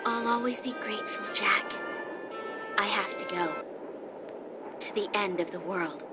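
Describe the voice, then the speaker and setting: A woman playing a gentle, resolute young noblewoman in a video game, soft and earnest. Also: mid-range voice, unhurried, over loud music, natural voice. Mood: wistful resolve. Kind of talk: video game cutscene acting.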